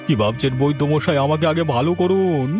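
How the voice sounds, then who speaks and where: A man voicing a scheming, greedy village headman with a blustering, commanding manner in an animated story. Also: on the high side, brisk, natural voice. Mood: pained, pleading. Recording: faint music behind the voice.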